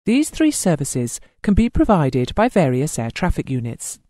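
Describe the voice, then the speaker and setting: Instructional narrator, mid-pitched with wide intonation, formal and measured, for scripted training modules. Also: huge pitch swings, warm tone, no echo, studio quality.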